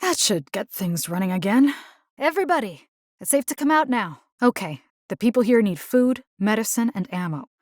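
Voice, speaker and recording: A woman's voice: fairly high pitch, normal speed, no room sound, clean studio sound. A woman voicing a plucky, caring video game combat engineer, casual and wry. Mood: alert, practical. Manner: casual, wry, expressive, slipping into Spanish slang. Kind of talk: video game character voice lines.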